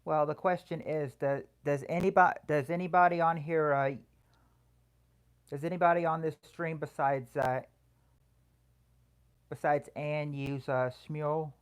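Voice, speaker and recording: A livestream host with a voice that viewers call soothing, chatting casually and haltingly with viewers and answering their comments. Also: medium pitch, steady tone. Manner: hesitant, halting, full of restarts.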